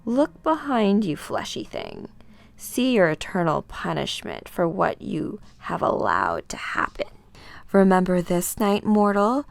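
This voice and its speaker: A woman voicing a cruel, otherworldly female tormentor from a comic book, taunting in a slow, mocking, ominous way. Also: on the low side, huge pitch swings, vocal fry, no echo, full character voice.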